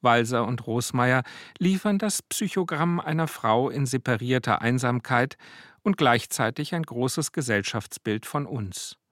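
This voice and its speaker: A man's voice: mid-range voice, animated, unhurried, a bit bright, no echo, clean studio sound. A man speaking standard German, presenting a scripted introduction as a radio presenter.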